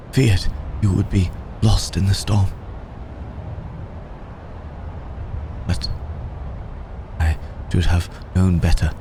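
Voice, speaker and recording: Over clear storm ambience comes a humble, devoted male servant acted by a man in an audio roleplay. His voice is very low, and he chooses formal, deferential words haltingly, at a very slow pace. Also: background noise. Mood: anxious, apologetic. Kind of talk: ASMR audio roleplay drama.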